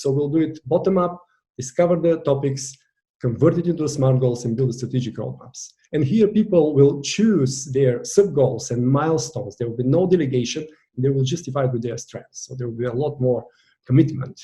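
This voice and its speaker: A Bulgarian-accented man talks through a coaching masterclass with a warm, mellow tone. He speaks English as a second language, and his delivery is earnest and deliberate. He presses on key words as he lays out each point. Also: medium pitch. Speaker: virtual team coach. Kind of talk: online masterclass presentation.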